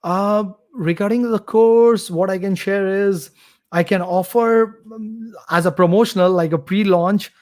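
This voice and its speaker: Man, thirties, eager and talkative guest, slightly high-pitched. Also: a little slow, darkish tone. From India. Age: 30s. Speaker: guest, course creator. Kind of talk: casual live-stream interview. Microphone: computer mic on a live video stream.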